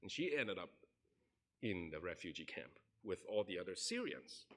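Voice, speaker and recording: A man's voice: low-pitched, big pitch swings, moderate pace, fairly bright tone. A man preaching a sermon in a church, earnestly telling a story and acting out the people in it. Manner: earnest, recounts stories and acts out quoted people. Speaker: pastor. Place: church sanctuary.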